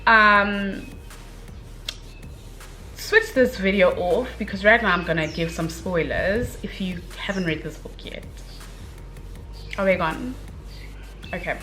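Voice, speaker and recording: Expressive young woman chatting casually. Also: medium pitch, slow, pauses often, soft background music. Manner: expressive, gushing, informal slangy delivery with dramatic emphasis. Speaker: book review vlogger. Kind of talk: casual chatty book review to camera.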